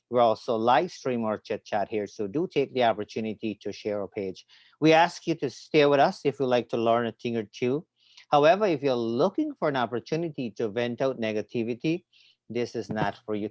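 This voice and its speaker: A man's voice: medium pitch, no echo. A middle-aged man hosting an interview show over a video call from home, friendly and rambling with many fillers. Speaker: radio show host. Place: home room with trophies and bookshelf. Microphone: video call webcam.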